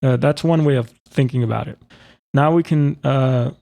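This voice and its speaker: Male tutorial presenter, informal, thinking aloud, mellow delivery with noticeable vocal fry. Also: medium pitch, moderate pace, dry recording. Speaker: music software tutorial presenter. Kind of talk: casual spoken software demonstration.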